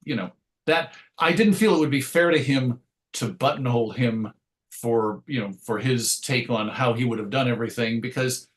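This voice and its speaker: A man speaks as the guest in an interview, in a middle-pitched voice. He talks conversationally and thoughtfully, working through his explanations and often reaching for an analogy. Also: normal speed. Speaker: interview guest, writer and radio host.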